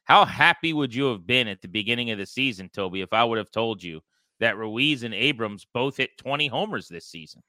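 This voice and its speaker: A man hosting a sports podcast, talking baseball in an animated, conversational style with a co-host. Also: medium pitch, a little fast, no room sound, low-quality recording.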